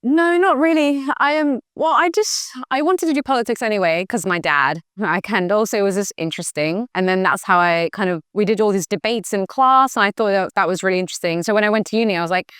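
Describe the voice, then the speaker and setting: Chatty young woman, smooth voice. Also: medium pitch, quick pace, few pauses, fairly bright tone, no echo, clean studio sound. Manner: chatty and enthusiastic, full of "like" and "you know". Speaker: guest, former government official. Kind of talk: casual interview answers. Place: campus recording room.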